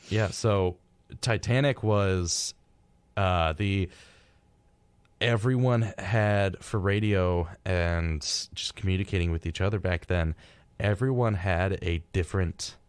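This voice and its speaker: A man's voice: low voice, clear, resonant tone, no echo. A young man hosting a radio show, chatting casually and reading out facts in an informal, wry way. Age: young adult.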